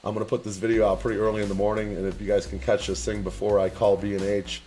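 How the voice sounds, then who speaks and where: A man's voice: on the low side, level tone, quick pace, dark tone. A young man from the Chicago area, vlogging casually and rambling with many fillers and restarts as he asks viewers for advice. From Chicago area, USA. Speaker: vlogger and online business owner. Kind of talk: casual talk to camera.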